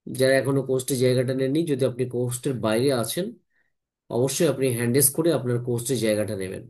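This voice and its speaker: A man's voice: medium pitch, fairly even intonation, a little fast, a little mellow. A man hosts an informal online team meeting, repeating himself, often adding tag questions and mixing in English words.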